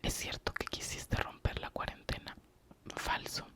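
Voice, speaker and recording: A man's voice: measured pace, pushed and bright. A man from Oaxaca with a Mexican accent, whispering an ASMR question-and-answer session with short true-or-false replies. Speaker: ASMR youtuber.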